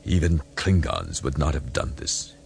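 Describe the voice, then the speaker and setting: Man voicing a gravelly, proud alien commander. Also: rumbling low, big pitch swings, measured pace, a little hiss or hum, exaggerated voice. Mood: bitter pride. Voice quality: grating, gravelly. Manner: forceful, indignant, formal. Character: proud, defiant Romulan alien military commander, adult male. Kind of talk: audiobook character voice.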